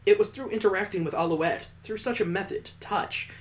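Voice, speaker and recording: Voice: medium pitch, brisk, a little hiss or hum. A let's-play host reading a visual novel aloud in a dramatic narrating style, breaking off into wry, incredulous, casual asides.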